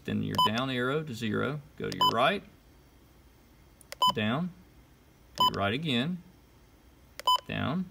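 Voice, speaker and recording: A man giving an informal how-to demonstration, talking through each step in short, casual instructions. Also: medium pitch. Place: indoors at a desk. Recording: clear background noise, clicks and beeps. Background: button clicks and electronic beeps.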